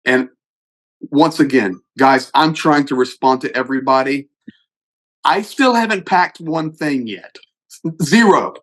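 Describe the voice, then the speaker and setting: A middle-aged man speaks in a steady mid-range voice, earnest and emphatic as he makes his appeal. He talks with the push of a volunteer in a hurry, sometimes restarting a phrase and pressing on. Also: mid-range voice, measured pace, long pauses, low-quality recording. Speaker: host; pastor and aid volunteer. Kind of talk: casual appeal to viewers.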